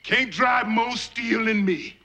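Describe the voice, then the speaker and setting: An actor playing a proud, defiant, plainspoken railroad laborer of legend in a television drama. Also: male voice, very high voice, slow, sharp tone, heavily acted. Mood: challenging. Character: proud, stubborn steel-driving railroad laborer, a folk hero. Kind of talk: television folk-tale drama acting. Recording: faint music behind, old videotape.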